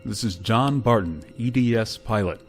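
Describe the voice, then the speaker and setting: A man acts out a weary space pilot in a vintage-style science-fiction radio play. The voice sits low and stays terse, grave and restrained, a stoic narrator with music underneath. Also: moderate pace, lightly acted. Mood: urgent, businesslike. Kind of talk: 1950s science-fiction radio drama acting.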